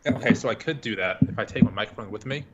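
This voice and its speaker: A man's voice: mid-range voice, fast. A man co-hosting a podcast, joking and swearing in casual chat. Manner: joking, profane. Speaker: podcast co-host. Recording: dull lo-fi mic with clear hiss.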